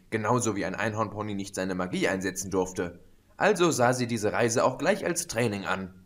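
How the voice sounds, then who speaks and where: Male narrator, steady reading, mid pitch, storybook audiobook. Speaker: audiobook reader. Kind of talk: scripted narration.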